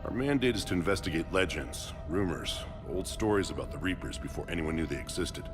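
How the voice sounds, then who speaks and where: A driven middle-aged scientist in a video game, with a deep voice. He is earnest and measured, and sits in long reverb over game music. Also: lively intonation. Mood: businesslike. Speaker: scientist leading a task force.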